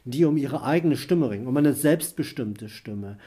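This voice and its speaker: A man's voice: medium pitch, animated, moderate pace, dark tone, slightly rough. A man from a youth work office, giving a heartfelt prepared statement. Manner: earnest, heartfelt.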